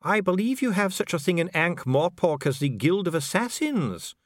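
A man voicing a calm dwarf official with a measured, bureaucratic, smoothly courteous manner in an audiobook. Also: high-pitched, no room sound, clean studio sound, pitched above the performer's own voice. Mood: coolly polite. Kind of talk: audiobook character voice.

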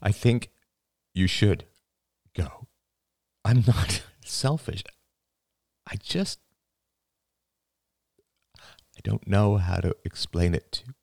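A man voicing a grieving elderly father in an audiobook, gentle and halting, close to tears. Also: on the low side, sing-song, vocal fry, husky, dry recording, voice pushed up, full character voice. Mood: tearful, pleading. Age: older. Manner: halting, sighing, breaking into sad laughter. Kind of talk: dramatic audiobook dialogue.

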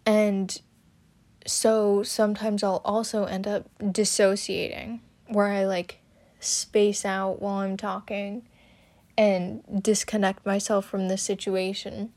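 This is a young woman talking through her personal experiences at a deliberate, unhurried pace. Her voice sits at an ordinary pitch, and her delivery is hesitant and halting, full of fillers and restarted sentences. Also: little pitch movement, darkish tone. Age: young adult. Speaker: student podcaster. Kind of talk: personal talk about her stutter.

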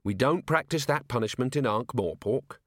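A man's voice: medium pitch, animated, moderate pace, a bit bright, no echo, studio quality. A man voicing a young watch captain in a storybook, earnest, kindly and unfailingly polite. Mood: patient, cheerful. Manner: kindly, reasonable.

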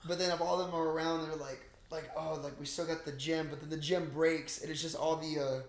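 A man with a fairly high voice talks in a roomy, echoey space. He chats casually and animatedly as a podcast co-host, full of slang and swearing, often addressing his friend as "bro.". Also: level tone, brisk. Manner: slangy, profane, peppers talk with "bro". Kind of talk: casual, animated movie recap chat.

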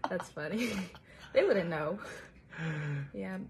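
A woman's voice: mid-range voice, animated, takes it slowly, gravelly, background noise. A young woman interviewing a fellow college student and asking curious, friendly follow-up questions in a casual way. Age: young adult.

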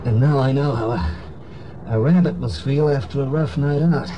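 An actor playing a glib, wisecracking private investigator in a 1960s radio drama. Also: male voice, mid-range voice, normal speed, mellow, lo-fi sound, natural voice. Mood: weary, puzzled. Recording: noisy old radio drama recording.

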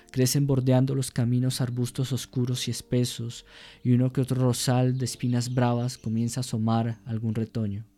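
A man narrating a literary radio drama in a measured, solemn, descriptive manner. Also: mid-range voice, dark tone.

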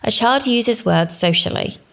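A woman in her thirties from England, giving a scripted, formal narration for a teaching presentation in a measured way. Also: a little high, expressive, vocal fry, fairly bright tone. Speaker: education student presenting.

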